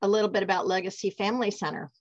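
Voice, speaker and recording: A woman co-hosts a webinar on a video call and welcomes the guest smoothly. Her mid-pitched voice comes through dull, dry audio. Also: racing, dry recording. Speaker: webinar co-host.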